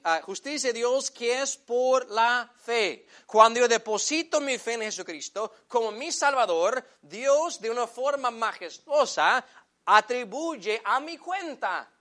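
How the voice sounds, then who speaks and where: Earnest bright-voiced male preacher. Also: very high-pitched, animated. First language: English. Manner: earnest preaching, slows and stresses key phrases, addresses listeners as brothers. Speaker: pastor. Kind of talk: sermon.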